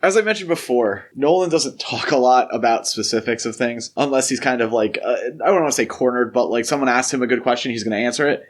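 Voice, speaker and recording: A man's voice: medium pitch, quick pace, few pauses. A man co-hosting a podcast, talking casually and analytically through a film discussion in an explanatory way. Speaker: podcast co-host.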